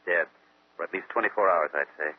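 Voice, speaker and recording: An actor playing an assured, sharp young amateur detective in a 1940s-style radio mystery. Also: male voice, low-pitched, fairly quick, slightly rough. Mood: grave. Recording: thin telephone-like old radio recording.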